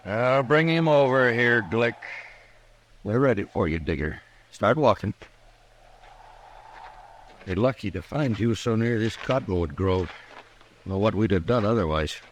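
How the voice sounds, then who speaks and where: Menacing frontier lynch-mob rancher. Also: male voice, fairly low pitch, lively intonation, unhurried, pauses often, a little hiss or hum. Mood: impatient, menacing. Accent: American. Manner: curt, taunting. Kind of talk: 1950s radio western acting.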